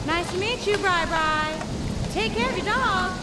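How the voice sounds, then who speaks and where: A woman's voice: very high voice, a little slow, background noise. An actress playing a beguiling, temperamental young woman in a radio drama. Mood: teasing farewell. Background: rain.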